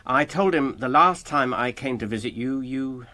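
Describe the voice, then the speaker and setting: An actor playing an earnest, anxious gentleman hobbit in a radio drama, measured and grave, with an English accent. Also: mid-range voice, no room sound, lightly acted. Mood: hesitant, polite.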